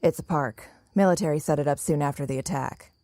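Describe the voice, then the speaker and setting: An actress playing a calm, guarded young woman survivor in an audio drama, speaking in a cool, assertive way. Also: low voice, fairly quick, no echo, studio quality, natural voice. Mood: businesslike.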